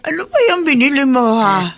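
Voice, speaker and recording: A woman's voice: high voice, animated, fairly quick, faint music behind. A woman playing a fussy, scolding old lady in a radio drama, full of nagging exclamations.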